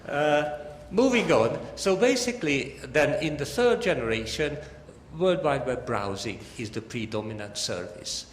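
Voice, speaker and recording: A man's voice: medium pitch, expressive, measured pace. Echoey male lecturer in a big hall. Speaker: academic lecturer. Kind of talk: academic lecture with slides. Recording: echoey hall, long reverb, faint noise.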